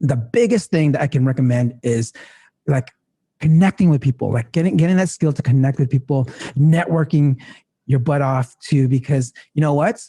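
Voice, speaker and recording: A man's voice: mid-range voice, moderate pace, dark tone, no echo. A man in his thirties, a guest in a casual interview, talking in a rambling way full of fillers and restarts. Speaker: guest entrepreneur.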